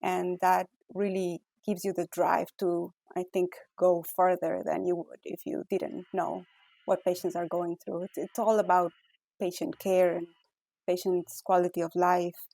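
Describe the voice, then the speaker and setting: Husky-voiced Ecuadorian woman, low and gravelly. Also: little pitch movement, measured pace, warm tone, no echo. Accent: Ecuadorian Spanish. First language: Spanish. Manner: thoughtful, many fillers and restarts. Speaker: guest physician scientist. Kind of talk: interview answers.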